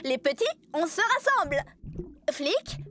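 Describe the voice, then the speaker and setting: A cheerful, caring young heroine in a French kids' cartoon. Her voice is extremely high and leaps around in a bubbly, encouraging way, with music clearly audible behind it. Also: sing-song, measured pace, exaggerated voice. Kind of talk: French children's cartoon dubbing. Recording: loud music under the voice.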